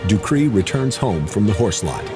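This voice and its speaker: A man's voice: fairly low pitch, sing-song, moderate pace. A man reading scripted documentary narration. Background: loud music.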